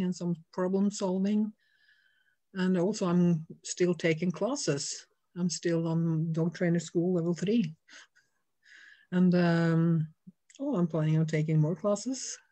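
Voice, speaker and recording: A woman's voice: on the low side, mellow. A middle-aged woman from Norway with a Norwegian accent, speaking English as a second language, talking frankly and unhurriedly as a dog trainer in a video call interview from her home. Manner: frank, laughs easily, unhurried. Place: home room with shelves.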